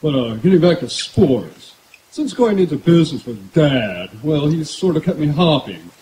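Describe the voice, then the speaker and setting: A man's voice: a little high, very animated, moderate pace, warm tone. A man playing a polite, genteel, self-satisfied young heir in an old-time radio comedy, performed live outdoors. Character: polite, stuffy, well-bred young male heir. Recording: echoey outdoor stage, faint noise.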